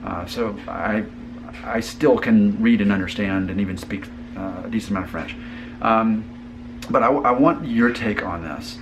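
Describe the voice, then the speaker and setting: Earnest low-voiced man talking it through. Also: moderate pace, noisy background. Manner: earnest, thinking aloud with restarts. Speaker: host. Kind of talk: casual family conversation.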